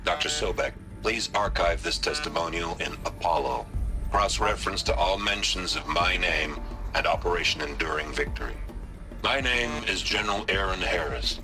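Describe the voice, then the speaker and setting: A man's voice: deep voice, moderate pace, music in the background. A man voicing a grave, remorseful general giving formal, sombre testimony in a video game. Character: grave, remorseful male military general, once proud.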